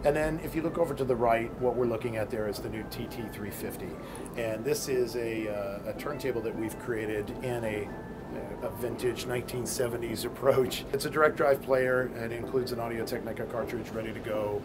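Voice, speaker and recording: 남성 제품 발표자, 뒤로 큰 음악 소리. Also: male voice, medium pitch, steady tone, brisk. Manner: explanatory, technical. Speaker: company product presenter. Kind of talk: product walk-through at a trade show. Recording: loud background music at a show booth. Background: loud music.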